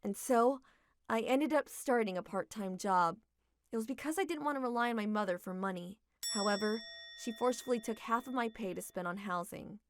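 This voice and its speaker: A woman's voice: fairly high pitch, fairly quick, background noise. Woman narrating a manga dub story. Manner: steady, even, reflective reading.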